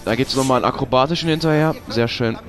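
A man's voice: mid-range voice, fast, slight background noise. A young man, giving casual gameplay commentary, swearing and ranting when he loses. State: has a cold. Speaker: let's play host.

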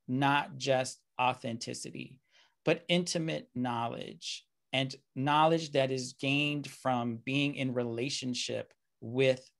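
Gravelly-voiced man giving a thoughtful lecture. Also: mid-range voice, fairly bright tone. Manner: measured, reflective, many um and you know fillers. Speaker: keynote speaker. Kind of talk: lecture over slides. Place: indoor room, video call.

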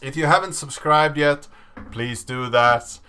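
A man in his thirties presenting in a deliberate, measured way, as in a dramatic reading. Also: a little high, sharp tone, clean tone. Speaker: host of a programming channel. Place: small indoor room at a desk.

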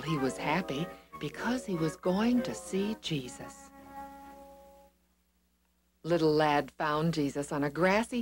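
A woman narrating a children's Bible story in an expressive, deliberate manner. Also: deep voice, rough recording.

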